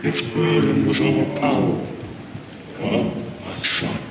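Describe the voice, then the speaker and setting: A man's voice: fairly low pitch, little pitch movement, measured pace, very noisy, natural voice. An actor playing a demonic father, a grandiose and blasphemous villain in a video game, speaking with pompous theatrical gloating.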